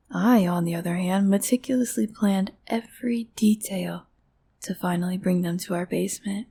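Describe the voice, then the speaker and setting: A woman acts out a meticulous, obsessive yandere sister in an audio roleplay. Her voice is mellow and breathy, sliding toward a whisper. She sounds smug and condescending, possessive of her captive sweetheart. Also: medium pitch. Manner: condescending, cooing, often breathy near-whisper.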